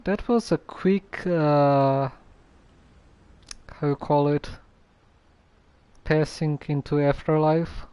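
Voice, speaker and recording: Streamer chatting over a game, medium pitch, slow and kinda hesitant, casual. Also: mellow, clear, resonant tone, lo-fi sound. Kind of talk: casual game livestream commentary.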